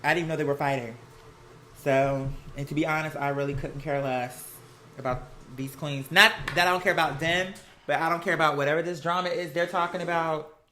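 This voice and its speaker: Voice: medium pitch, steady tone, a little fast, bright, forceful tone. An American livestream host chatting casually in a campy, sassy, slang-heavy way. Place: at home, laundry running nearby. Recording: some household noise behind the voice. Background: laundry noise.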